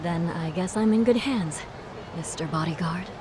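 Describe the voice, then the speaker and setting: An actress playing a headstrong, assertive young hostess who speaks bluntly, in a video game cutscene. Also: female voice, lowish voice, normal speed, noisy background, lightly acted. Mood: softened. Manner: assertive, blunt, self-assured. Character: headstrong, tough young female hostess protecting her sister.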